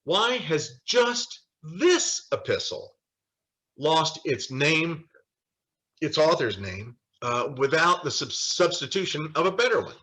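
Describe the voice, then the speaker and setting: A man teaches a Bible study in a bright, forceful voice with very lively pitch. He lectures informally from notes, leaning hard on key phrases and slipping in wry asides. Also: slow. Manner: emphatic, animated, with wry asides. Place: online video call study session.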